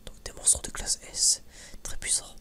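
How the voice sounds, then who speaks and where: A man's voice: unhurried, bright, forceful tone. A man whispering a casual, rambling ASMR chat, informal and sweary, hesitant and often stammering over repeated words. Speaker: ASMR video creator.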